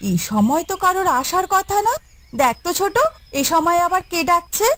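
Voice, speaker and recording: A woman voicing a brisk, practical elder wife in a village cartoon, giving orders and explanations. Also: very high-pitched, expressive, a bit bright. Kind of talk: cartoon voice acting.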